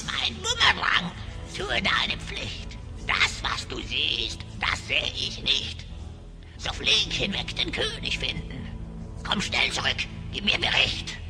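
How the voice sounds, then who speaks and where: A man's voice: mid-range voice, wildly swooping pitch, takes it slowly, full character voice. A man playing a sly, cackling hyena spy who speaks in theatrical rhymes in a fantasy radio play. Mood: conjuring. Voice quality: creaky. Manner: theatrical rhyming speech, breathy cackles. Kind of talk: German children's fantasy radio play acting. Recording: voice over music.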